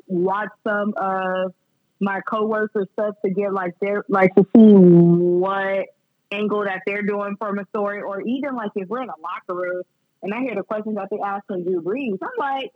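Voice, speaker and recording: A woman's voice: mid-range voice, steady tone, moderate pace, no echo, narrow, tinny audio. A woman speaking candidly and slangily as a guest sports reporter in a casual podcast conversation.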